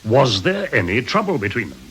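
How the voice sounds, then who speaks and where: A commanding police inspector in a radio crime drama. His pitch moves animatedly as he fires clipped, probing questions in a middle-register voice. A faint noise sits on the recording. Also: big pitch swings, moderate pace, fairly bright tone. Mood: pressing, blunt. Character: brisk, probing male police inspector leading an investigation.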